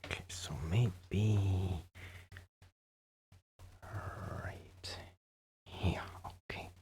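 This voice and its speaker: A man doing a soft-spoken, casual ASMR roleplay, chatting gently and hesitantly to the listener, at times dropping into a whisper. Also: low voice, fairly even intonation, extremely slow. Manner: hesitant, rambling, gentle, often drifting into whispers. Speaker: ASMR performer playing a friendly host.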